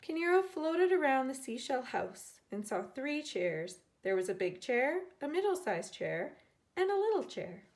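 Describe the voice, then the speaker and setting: Woman, teacher read-aloud, higher voice, animated intonation, children's storybook style. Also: measured pace. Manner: expressive, dramatizing characters' lines.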